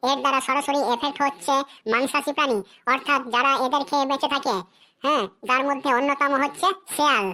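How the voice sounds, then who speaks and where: A woman's voice: high voice, fairly even intonation, fast talker, bright, forceful tone. A woman voicing a cocky schoolboy in a cartoon who rattles off a memorized essay in a sing-song recital. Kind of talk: cartoon voice acting.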